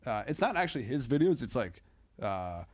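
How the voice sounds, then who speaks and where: A man chatting casually as a comedian guest on a podcast, talking in a halting, tangential way with frequent self-corrections. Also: medium pitch, very animated.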